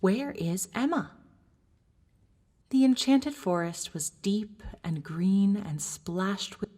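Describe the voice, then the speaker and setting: A woman's voice: medium pitch, slow, dark tone. A woman reading a children's audiobook in careful, scripted narration. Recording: reverberant, played into large hall.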